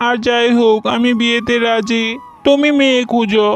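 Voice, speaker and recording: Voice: medium pitch, fairly even intonation, normal speed, faint music behind, natural voice. A performer voicing a devoted young male tailorbird son in a children's cartoon, earnest and pleading.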